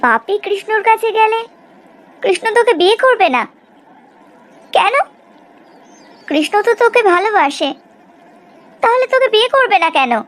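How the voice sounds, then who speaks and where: Cartoon rich young woman, female performer: self-sacrificing, earnest, plaintive, with an extremely high pitch. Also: very animated, normal speed, long pauses, a bit bright, exaggerated voice. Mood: bewildered. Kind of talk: cartoon melodrama dialogue.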